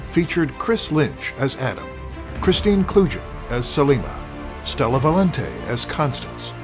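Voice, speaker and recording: A man hosting an audio drama series, reading a scripted outro in a formal, measured announcing manner. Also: medium pitch. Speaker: host and announcer. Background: prominent music.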